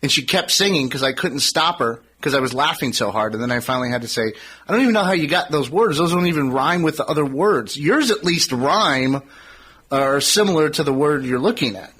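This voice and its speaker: A man's voice: on the high side, expressive, fairly quick, bright, forceful tone, breathy edge, rough recording. An American man bantering casually and asking questions on a radio talk show.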